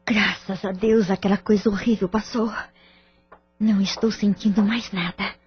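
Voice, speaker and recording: A woman's voice: medium pitch, fairly even intonation, moderate pace, slightly rough. An actress playing a middle-aged mother who speaks in an anxious, pleading, distressed way. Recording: dull lo-fi audio with faint music.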